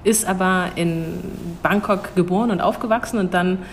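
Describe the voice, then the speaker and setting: A young woman answering interview questions in a thoughtful way, hesitating and restarting, quick to laugh. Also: on the low side, a little hiss or hum. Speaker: cultural attaché at an embassy.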